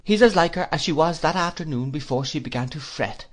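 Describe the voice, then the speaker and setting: A man voicing a brisk, strong-willed elderly aunt in an audiobook, speaking decisively and with emphasis. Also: fairly high pitch, animated, pitched above the performer's own voice. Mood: emphatic. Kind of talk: audiobook character reading.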